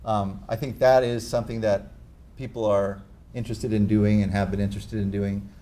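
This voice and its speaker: A man presenting at a tech talk, answering audience questions in a candid, measured way. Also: on the low side, flat delivery, dark tone. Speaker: conference presenter. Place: conference room.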